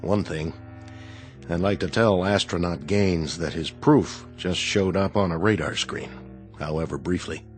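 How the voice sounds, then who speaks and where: An actor with an American accent playing a thoughtful military colonel in a radio drama, measured and philosophical in manner. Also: male voice, low voice. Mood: respectful, firm. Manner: measured, reflective. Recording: radio drama with music underneath.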